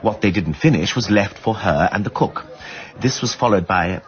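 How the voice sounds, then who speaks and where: An actor playing a well-bred, insistent amateur sleuth in a radio drama, with an upper-class English accent and a plummy, drily witty delivery. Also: male voice, on the low side, moderate pace, highs cut off, lightly acted. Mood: measured recounting. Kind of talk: British radio drama acting.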